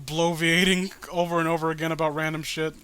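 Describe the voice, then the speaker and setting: High-pitched, bright-voiced ranting man. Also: flat delivery, a little fast, clear, resonant tone. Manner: profane, ranting, rambling. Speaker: co-host of a comic read-along video. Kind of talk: casual riffing commentary.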